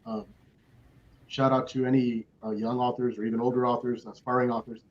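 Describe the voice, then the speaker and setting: A man's voice: mid-range voice, flat delivery, a little fast, background noise. A man speaking as a panelist in a motivational way, emphatic and repeating himself for weight. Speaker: children's author, panelist. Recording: dull, lo-fi call audio.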